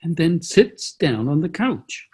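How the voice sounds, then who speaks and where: A man's voice: on the high side, very animated, takes it slowly, darkish tone, breathy edge. A man reading stage directions as the narrator of a play reading. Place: video call.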